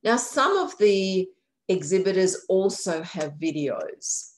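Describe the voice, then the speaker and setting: A woman's voice: mid-range voice, sing-song, takes it slowly, a little hiss or hum. Woman guiding a website tour. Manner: friendly, explanatory, self-correcting. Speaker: county aging services guide. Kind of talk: screen-share website walkthrough. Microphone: computer mic on a video call.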